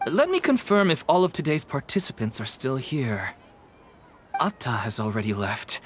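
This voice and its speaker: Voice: mid-range voice, big pitch swings, moderate pace, a little hiss or hum, natural voice. An actor playing a helpful, dutiful event organizer in a video game, polite and earnest. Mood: concerned, dutiful. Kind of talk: video game character dialogue.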